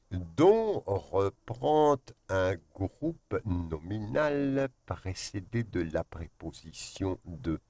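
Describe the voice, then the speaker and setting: Slow-reading man teaching French grammar. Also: deep voice, darkish tone. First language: Spanish. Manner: reads slowly, then drifts into quick joking asides. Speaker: language teacher. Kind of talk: grammar lesson, reading rules aloud with asides.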